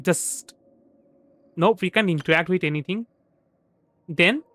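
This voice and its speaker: A man's voice: high voice, a little slow, dry recording. A young man from India doing casual live game commentary, musing aloud and repeating himself. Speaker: VTuber game streamer. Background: soft background music.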